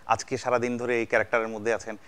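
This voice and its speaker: A man's voice: mid-range voice, flat delivery, very fast, fairly bright tone. A man from Bangladesh hosting a casual celebrity chat show, joking and heaping compliments on his guests. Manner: jokey, effusively complimentary to guests. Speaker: TV show host.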